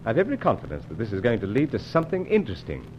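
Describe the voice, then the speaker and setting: An actor playing a suave British secret agent with a British accent, clipped, dryly polite and unflappable, in a 1950s radio serial. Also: male voice, fairly low pitch, wildly swooping pitch, very fast, over loud music. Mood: composed. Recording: dull old radio recording with strong hiss.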